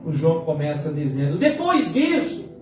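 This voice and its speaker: A Brazilian man in his late fifties preaching a sermon as a pastor, using dramatic pauses and repetition for emphasis. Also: fairly high pitch, huge pitch swings. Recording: very echoey hall, distant mic, music behind. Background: music.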